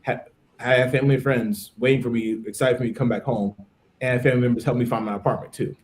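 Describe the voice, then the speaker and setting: A man's voice: mid-range voice, fairly even intonation, quick pace, a little hiss or hum. Chatty American man in his thirties. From United States. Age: 30s. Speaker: podcast participant, English teacher. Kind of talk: casual conversational interview.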